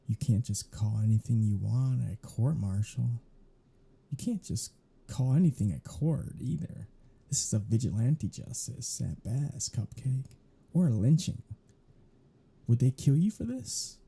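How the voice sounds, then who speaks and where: Man playing a husky, dark-voiced sardonic vampire. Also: medium pitch, full character voice. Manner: sarcastic, drawling, mocking. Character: sardonic, teasing male vampire, grumbling and irreverent. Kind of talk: intimate ASMR roleplay audio drama.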